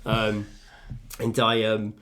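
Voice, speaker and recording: A man's voice: on the low side, monotone, slow pace, a crowd in the background. A man in his thirties co-hosting a podcast, chatting casually in a home kitchen, swearing freely and laughing often. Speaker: podcast co-host. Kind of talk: casual chat.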